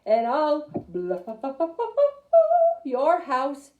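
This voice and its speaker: A big bad storybook pig, acted by a woman, blustery and taunting. The threats come out slow and singsong, with long stretched words and big swoops in pitch. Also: big pitch swings, roomy sound, highs cut off, faint music behind, full character voice. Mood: taunting, threatening.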